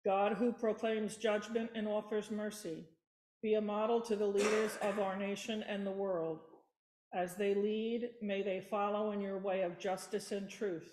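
A woman reads intercessory prayers from a church lectern in a flat, level voice. The sanctuary gives her words a roomy echo. She stumbles now and then but keeps an even pace. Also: mid-range voice, unhurried. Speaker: worship leader. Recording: echoey church room.

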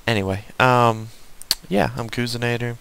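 Male gaming host, Southern US accent, mid-pitched, casual and rambling, talking to himself while playing. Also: fairly even intonation, normal speed, vocal fry, fairly bright tone, clear, resonant tone. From southern United States. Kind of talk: casual unscripted gameplay commentary.

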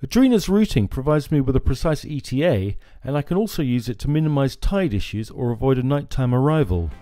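A man, presenting marine navigation equipment in a small showroom in a steady, informative way on a lapel mic. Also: mid-range voice, fairly quick. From France. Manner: steady, informative, gestures at screens. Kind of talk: scripted product explainer.

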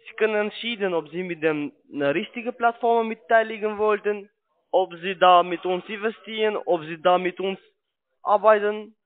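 Over a phone line, a man who is not a native speaker makes a pushy, halting sales call in broken grammar. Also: high voice. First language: not German. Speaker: cold-calling telemarketer. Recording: narrow tinny phone line.

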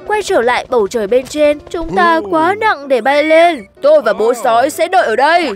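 Woman as resourceful cartoon lion, very high pitch, expressive, rhyming singsong, earnest, light music underneath. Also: moderate pace. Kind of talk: children's cartoon dubbing.

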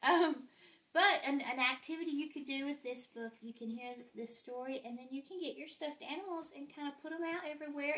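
High-voiced woman reading aloud at home. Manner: expressive, with whispered sound words. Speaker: mother reading aloud to her children. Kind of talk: casual chat and expressive picture-book read-aloud. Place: living room at home. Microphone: handheld phone. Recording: home phone video with background noise.

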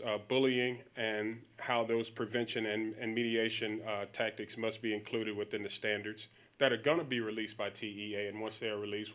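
A man's voice: lowish voice, a bit bright. Man giving a formal administrative briefing. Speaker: school district administrator. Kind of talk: formal presentation to a board. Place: public board meeting room.

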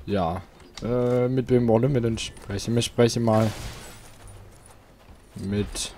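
A man's voice: medium pitch, steady tone, takes it slowly, dark tone, slight background noise. A man hosting a let's play, chatting casually and thinking aloud while he plays, seated at home. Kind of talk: casual gameplay commentary.

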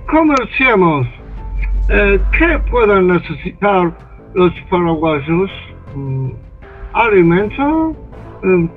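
Casting: a man as a high, lively, musing banker; tinny phone-like sound. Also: heavily acted. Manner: musing, hesitant. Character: calculating English banker plotting trade.

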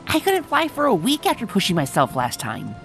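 A woman's voice: mid-range voice, wildly swooping pitch, brisk, creaky. A woman voicing a brash, cocky young female cartoon pegasus in a comic dub, with a boastful, dramatic delivery. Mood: worried, frustrated. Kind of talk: animated comic dub acting. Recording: voice over background music.

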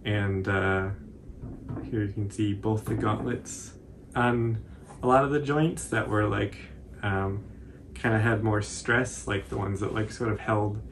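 A man in his twenties giving a casual, informal tutorial commentary in a halting, hesitant way. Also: fairly low pitch, fairly even intonation, a little hiss or hum. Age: 20s. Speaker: cosplay tutorial presenter.

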